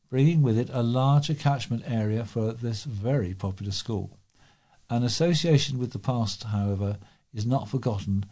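Mellow man reading aloud. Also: medium pitch. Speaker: volunteer local news reader. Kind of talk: scripted local history read aloud.

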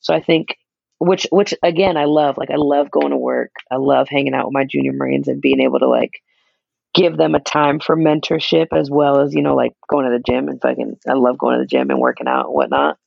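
A young man with a naturally raspy voice, chatting casually as a podcast co-host, laid-back and quick to laugh. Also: mid-range voice, dry recording, dull audio. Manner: laid-back, laughs often, many fillers. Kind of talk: casual chat.

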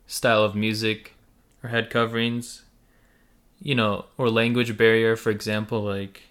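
A man's voice: on the low side, little pitch movement, measured pace, fairly bright tone. A man born in the United States to a Russian- and Ukrainian-speaking family, co-hosting a podcast and talking casually and hesitantly with many fillers. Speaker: podcast co-host. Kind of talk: casual conversation.

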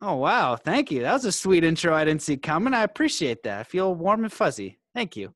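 Higher-voiced man hosting a podcast. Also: sing-song, fairly quick, a bit bright, no echo, clean studio sound. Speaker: podcast host and coach. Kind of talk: podcast host talk, promos and guest introduction.

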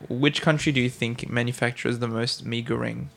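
Male co-host, gravelly, reads facts aloud, casual quiz host. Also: medium pitch, steady tone, moderate pace, fairly bright tone. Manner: reads facts from notes, laughs easily. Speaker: podcast co-host running a quiz. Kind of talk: casual podcast chat.

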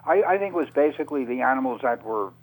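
A man speaking as an interview guest on radio, unscripted, halting and often repeating words as he searches for phrasing. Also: medium pitch, animated, low-quality recording. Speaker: interview guest, author on UFO topics.

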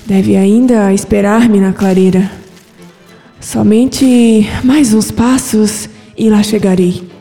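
A woman acting a lovestruck Brazilian fugitive, breathy urgency. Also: mid-range voice, steady tone, takes it slowly, warm tone, in a large hall, soft background music. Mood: hopeful, anxious. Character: fearful, lovestruck fugitive woman of olden times. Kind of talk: scripted period audio drama acting.

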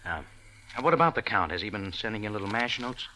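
An actor playing a wry, tough American cafe owner and reluctant sleuth, narrating and acting in a 1940s radio drama. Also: male voice, lowish voice, animated, quick pace, bright, forceful tone, slight background noise, low-quality recording. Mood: wry, guarded. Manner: wry, laconic, hard-boiled. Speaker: lead character and narrator. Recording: old radio recording with music.

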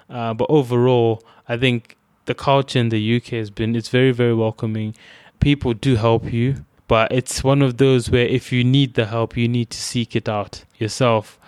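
Young adult man, Zimbabwean accent, mid-pitched, reflective storyteller, dry close recording. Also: a little mellow, clean tone, no echo. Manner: thoughtful, frequently restarting phrases. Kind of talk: reflective personal account.

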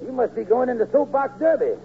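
A man's voice: very high-pitched, expressive, fast. A man playing a sensible, skeptical friend who talks in a folksy, ungrammatical way in a 1940s radio comedy. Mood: wary, skeptical. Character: sensible, plain-spoken male friend, wary and protective. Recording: old tinny radio recording with hum.

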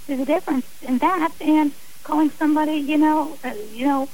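A woman's voice: high voice, fairly even intonation, a little fast, a little mellow, low-quality recording. A woman speaking as an author and guest on a radio interview, earnest and emphatic, often repeating phrases for stress.

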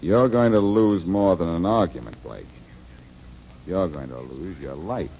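A man's voice: low-pitched, unhurried, vocal fry, noisy background. An actor playing a cool, confident male detective in 1940s radio drama. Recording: dull lo-fi old radio recording.

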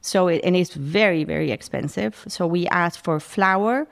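A middle-aged woman from Spain, a food pantry founder chatting as a guest in a halting, restarting way. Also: on the low side, creaky. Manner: warm, halting, many restarts. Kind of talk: casual interview talk.

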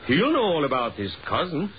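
An actor playing a talkative, cynical Russian government official in a 1940s radio horror drama, wry and exclamatory. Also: male voice, on the high side, huge pitch swings, moderate pace. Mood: baffled, scornful. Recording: muffled old 1940s radio recording, hiss.

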